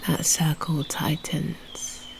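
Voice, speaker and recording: Very slow, hushed British woman guiding meditation. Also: low voice, flat delivery, poor audio. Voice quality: soft, breathy, often near whisper. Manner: soothing, unhurried, with long pauses. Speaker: meditation guide and host. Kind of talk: guided meditation narration.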